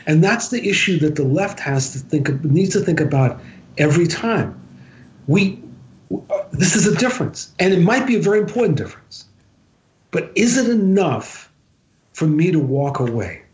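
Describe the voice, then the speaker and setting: A man giving conversational commentary as an interview guest, deliberate and emphatic, pausing haltingly and repeating for stress. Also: fairly high pitch, expressive. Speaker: podcast guest, author and activist. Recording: dull, lo-fi podcast audio.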